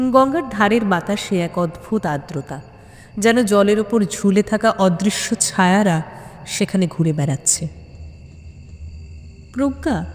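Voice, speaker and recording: Woman narrating suspense in long reverb. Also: medium pitch. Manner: suspenseful, deliberate with dramatic pauses. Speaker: audio story narrator. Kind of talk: dramatic scripted narration of a detective story.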